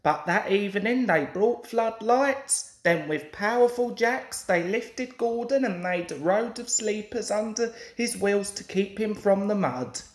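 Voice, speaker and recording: A man's voice: sits high, measured pace, hardly any pauses. A young man narrating a children's story expressively. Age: young adult. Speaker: storybook reader. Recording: roomy home recording with echo.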